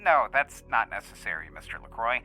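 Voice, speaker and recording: A man's voice: mid-range voice, sing-song, brisk, bright, forceful tone, faint music behind, exaggerated voice, rough recording. A man playing a polite, formal researcher who speaks in a courteous, procedural manner in an audio drama. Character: polite, formal male researcher conducting a test.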